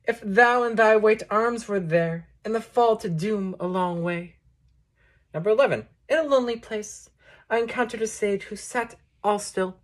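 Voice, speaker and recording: A woman reading poetry aloud, delivering it as a measured, declamatory recital in short phrases. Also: medium pitch. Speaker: poetry reader introducing a book.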